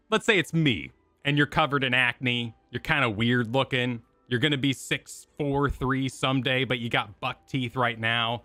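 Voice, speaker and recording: A man's voice: mid-range voice, bright, forceful tone, no room sound. A man hosting a reaction stream with casual, jokey, self-interrupting commentary.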